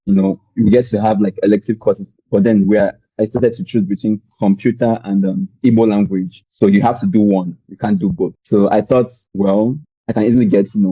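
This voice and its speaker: A young man from Nigeria with a Nigerian accent, telling his story as a podcast guest in a relaxed, rambling way with many fillers. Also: fairly low pitch, slightly rough, no room sound, muffled recording. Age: young adult. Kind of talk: conversational storytelling.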